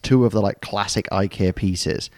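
A man with a very low voice rambles through a casual story, filling pauses with ums and tossing in jokey asides. The sound is dry and close, with no room around it. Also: dry recording. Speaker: podcast co-host. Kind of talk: casual chat.

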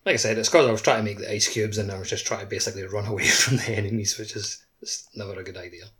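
A man with a lowish voice talks casually as a podcast co-host. His phrasing is loose and halting, circling back on itself as he explains. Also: fairly even intonation, fairly bright tone. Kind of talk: casual gaming podcast chat.

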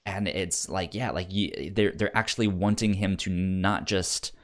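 A man's voice: low voice, fairly even intonation, unhurried. A man co-hosting a casual film discussion, stuttering often as he repeats sounds and words. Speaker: podcast co-host discussing films.

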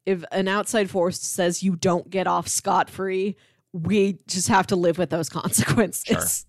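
A woman's voice: lowish voice, steady tone, a little slow, no echo, clean studio sound. A woman co-hosting a casual film discussion, retelling things in imagined quotes as she goes. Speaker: podcast co-host.

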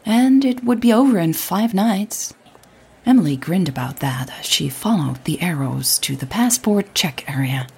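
Husky, low-voiced woman narrating a story. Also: animated, moderate pace, a little mellow. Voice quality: husky, breathy. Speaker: audio drama narrator.

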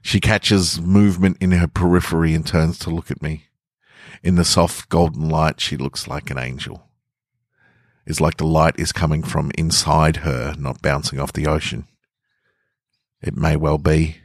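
A male podcast host with a notably low voice, recorded dry and close. He talks to listeners and reads short fiction as a storyteller, with a sense of drama. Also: fairly even intonation, a little slow, pauses often, no echo. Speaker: podcast host and fiction writer reading own stories. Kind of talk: chatty intro and dramatic first-person story narration.

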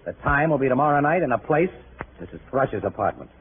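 A man's voice: mid-range voice, big pitch swings, fast, close to an everyday voice. Brash American radio crime photographer, played by a man, thin old recording. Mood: cocky scheming. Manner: slangy, pushy, self-assured. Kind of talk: old-time radio crime drama acting. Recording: scratchy old radio broadcast, thin phone-like band.

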